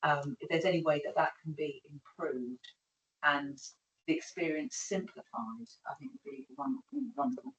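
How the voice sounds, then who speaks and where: Woman, board member, lowish voice, rambling, far from the mic, echoey room. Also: lively intonation, normal speed, a bit bright, muffled recording. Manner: rambling, broken-off phrases. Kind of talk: meeting comment. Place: meeting room.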